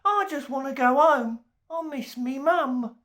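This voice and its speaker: A man voicing a small, frightened young tooth creature in a high, whimpering voice. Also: a little slow, fairly bright tone.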